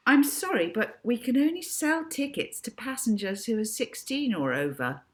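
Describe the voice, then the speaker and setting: A woman's voice: fairly high pitch, big pitch swings, normal speed, pitched above the performer's own voice. A woman voicing an officious, apologetic female airline clerk with a polite, fussy manner in a storybook. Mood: firm, apologetic.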